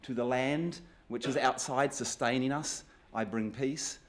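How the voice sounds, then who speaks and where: A man's voice: mid-range voice, measured pace. Good-humoured New Zealand man giving a talk. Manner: conversational, good-humoured, self-deprecating asides. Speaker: guest speaker. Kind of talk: public talk with slides.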